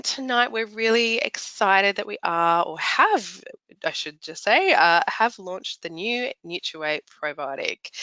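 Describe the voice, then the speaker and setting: Croaky upbeat woman presenting. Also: mid-range voice, pushed and bright, no room sound. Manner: chatty, upbeat, frequent ums. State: slightly croaky, raspy voice. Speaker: webinar presenter, naturopath trainer. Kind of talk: product launch webinar presentation. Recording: dull lo-fi webinar audio.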